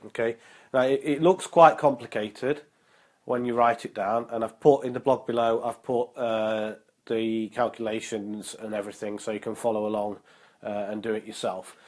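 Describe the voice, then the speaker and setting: A young man vlogging about fitness, his voice a little nasal from a cold and his throat sore, upbeat and motivational, with many ums and uhs, slowing down to spell out figures. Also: on the low side, fairly even intonation, a little mellow. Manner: slow and careful when reading out numbers. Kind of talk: casual vlog talk and explainer.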